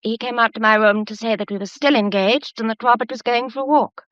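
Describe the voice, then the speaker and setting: A woman's voice: fairly high pitch, fairly quick, fairly bright tone, no room sound, lightly acted. An actress playing a plucky, anxious young woman, earnest and hesitant, in a radio mystery drama. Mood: guarded. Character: plucky, anxious young upper-class lady keeping her head. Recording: dull, muffled lo-fi audio.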